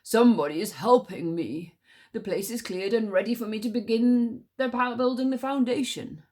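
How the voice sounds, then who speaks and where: A woman voicing a proud, stubborn stag in a storybook tale, with loud, stretched-out words. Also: on the high side, normal speed, natural voice. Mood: pleased wonder.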